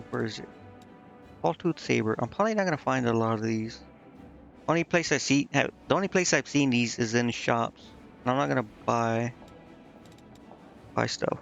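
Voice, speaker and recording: A man's voice: medium pitch, measured pace, creaky, pauses often, dull audio. A man streaming a game, muttering indecisively to himself in casual commentary as he thinks aloud. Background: game music.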